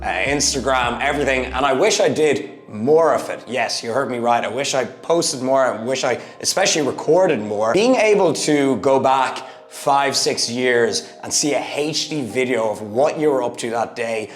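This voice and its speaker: A man of thirty, giving an emphatic motivational vlog talk, swinging from loud bursts to low asides. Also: animated, normal speed, few pauses, slight background noise. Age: 30s. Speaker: fitness YouTuber. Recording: echoey room with faint music. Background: faint background music.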